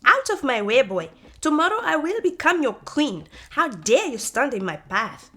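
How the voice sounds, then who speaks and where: Storybook villainess: spoiled, scornful young woman, played by a female narrator, high, imperious, wildly swooping delivery. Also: very animated, moderate pace, pushed and bright, heavily acted. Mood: scornful, angry. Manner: imperious, scolding. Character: mean, haughty, bad-tempered beautiful young woman, scornful. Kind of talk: storybook character voice.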